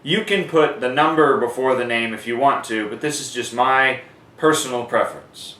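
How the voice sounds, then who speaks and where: A man's voice: mid-range voice, moderate pace, pushed and bright. Man teaching in an echoey room. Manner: informal, conversational, explanatory. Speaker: sports announcing instructor. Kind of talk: instructional how-to talk with demonstrations. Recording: echoey room, distant microphone.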